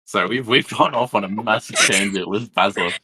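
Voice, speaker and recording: A man's voice: on the low side, normal speed, bright, forceful tone. A man, co-hosting a podcast in playful, jokey casual chat. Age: 20s. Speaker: podcast co-host.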